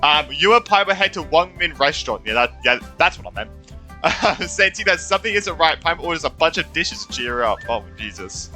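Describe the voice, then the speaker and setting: Bright, animated male gaming streamer. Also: on the high side, brisk, soft background music. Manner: excitable, reactive, swears casually. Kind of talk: casual game playthrough commentary.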